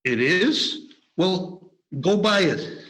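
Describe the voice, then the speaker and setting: A man's voice: high-pitched, lively intonation, very slow, fairly bright tone, heavily acted. An actor playing a giddy, overjoyed old man in a radio play, exuberant and stammering. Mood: eager delight. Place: performed remotely over a video call.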